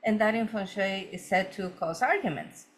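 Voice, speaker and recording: Guest woman from Ecuador, earnest storyteller, middling pitch, faint background noise. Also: normal speed. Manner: earnest, many fillers and restarts. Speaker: feng shui consultant guest. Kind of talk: conversational storytelling interview.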